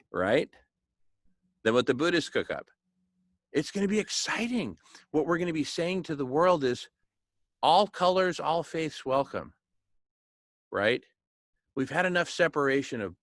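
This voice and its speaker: A man talking informally and enthusiastically like an organizer pitching a plan, emphatic and jokey, often checking for agreement. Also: fairly bright tone, no room sound, clean studio sound. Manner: enthusiastic, emphatic, repeatedly seeking agreement with tag questions; jokey, chuckles at his own remarks. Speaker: organizer of a food charity project. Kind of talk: informal motivational planning talk.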